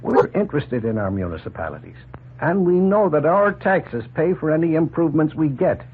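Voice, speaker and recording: Proud middle-aged farmer, folksy, emphatic, animated pitch, 1940s radio drama, narrow phone-like sound. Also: male voice, normal speed, slightly rough, heavily acted. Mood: firm, explaining. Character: opinionated, plain-spoken Canadian farmer, proudly independent. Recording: noisy, tinny 1940s radio recording.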